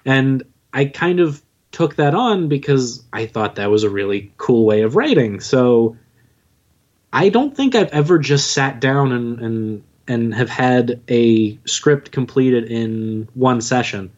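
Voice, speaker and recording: A man's voice: medium pitch, animated, takes it slowly, darkish tone. Dull-sounding lo-fi recording of a joking man. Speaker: podcast guest, audio drama writer and narrator. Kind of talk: casual interview chat.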